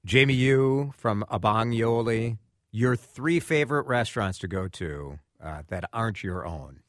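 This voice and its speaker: A man's voice: fairly low pitch, animated, a little slow, fairly bright tone, dry recording. A man hosting a radio interview show, talking with guests in a studio in an upbeat, enthusiastic way. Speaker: radio host.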